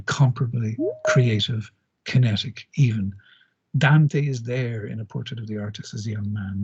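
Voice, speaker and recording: A man lectures in a careful, scholarly way, sometimes reading from prepared text and sometimes pausing with "uh" to correct himself. His voice is mid-pitched and a little husky. The audio has a dull, lo-fi quality. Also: a little mellow. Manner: measured, with frequent "uh" pauses and self-corrections. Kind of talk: academic lecture, partly read. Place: lecture delivered online or in a lecture room.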